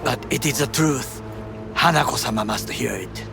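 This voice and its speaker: An actor playing a grave, honourable corporate warrior in a video game, with a Japanese accent, a breathy hush and formal, deliberate phrasing. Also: male voice, mid-range voice, close to an everyday voice. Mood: resolute. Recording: music behind the dialogue.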